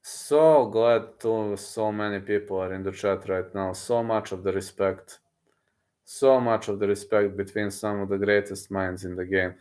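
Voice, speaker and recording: A man, a streamer chatting casually with his viewers, boastful and excitable, often repeating himself. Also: fairly low pitch, unhurried. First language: Serbian. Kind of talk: casual livestream chat, reading messages aloud.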